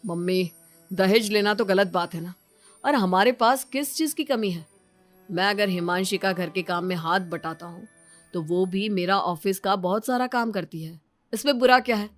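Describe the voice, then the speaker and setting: A woman's voice: mid-range voice, normal speed, soft background music, natural voice. Woman playing an earnest, fair-minded grown son. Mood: earnest, protesting. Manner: earnest, reasoning. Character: dutiful, fair-minded adult son, male.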